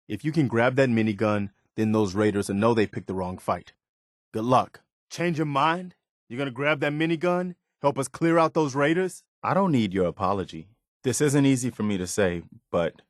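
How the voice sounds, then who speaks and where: An actor voicing an earnest, principled, loyal but weary militia officer in a video game, speaking in a sincere, measured, plain-spoken way. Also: male voice, on the low side, no room sound, clean studio sound, close to an everyday voice. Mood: hopeful, encouraging. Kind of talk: video game character dialogue.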